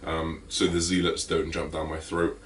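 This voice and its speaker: Deep-voiced raspy man. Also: moderate pace, distant mic, a little hiss or hum. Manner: energetic, chatty, full of fillers. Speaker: fitness vlogger. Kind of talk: casual vlog talk to camera.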